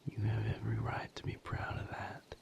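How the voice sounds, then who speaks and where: A man playing a protective, tender boyfriend in an intimate audio roleplay, speaking gently and earnestly in a breathy, creaky near-whisper. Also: muffled recording, lightly acted. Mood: tender pleading. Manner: slow, gentle, earnest, firm. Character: protective, tender male boyfriend tending his hurt partner.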